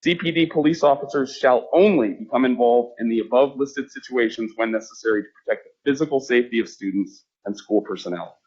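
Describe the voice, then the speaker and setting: A man, a school district official, presenting to a board in a measured way, with frequent fillers and restarts. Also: medium pitch, a little mellow, breathy edge, muffled recording.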